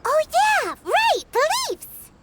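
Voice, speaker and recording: A woman voicing a tiny, perky, childlike fairy companion with a squeaky voice in an anime-style game. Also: huge pitch swings, slow pace, very noisy, exaggerated voice. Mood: excited. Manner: speaks of self by name, exclamatory.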